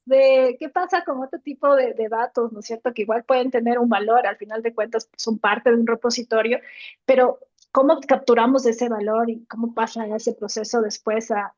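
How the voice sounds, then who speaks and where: Podcast co-host, woman, slightly high voice, step-by-step explainer with frequent tag questions; dry, dull lo-fi sound. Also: a little mellow, dry recording. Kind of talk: explanatory podcast talk on tech.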